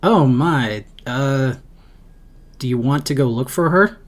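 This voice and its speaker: Casting: male actor as loyal young superhero pal, mid-pitched, hesitant, casual, concerned, slow-paced. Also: natural voice. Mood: concerned, supportive. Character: young, loyal, awkwardly supportive hero friend. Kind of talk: audio drama acting.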